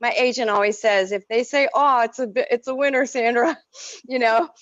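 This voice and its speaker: A woman whose first language is French, an artist telling stories in an effusive, expressive way as an interview guest. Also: a little high, normal speed, no room sound, highs cut off. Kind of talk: casual interview.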